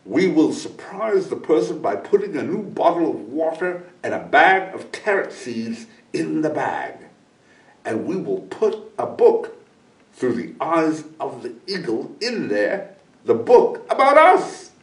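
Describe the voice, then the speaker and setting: A man reads as a great, kindly eagle from a children's storybook, proud and patient. The delivery is slow and very melodic, encouraging like a teacher's. It sounds roomy, picked up from a distance. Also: on the high side, sing-song, voice pushed up. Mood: gently firm. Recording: roomy echo, distant microphone.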